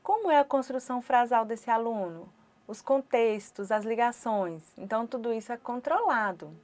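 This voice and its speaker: A woman with a Brazilian Portuguese accent who voices a sign-language teacher's lecture for an online course. She speaks in short phrases broken by pauses. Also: a little high, expressive, a little mellow.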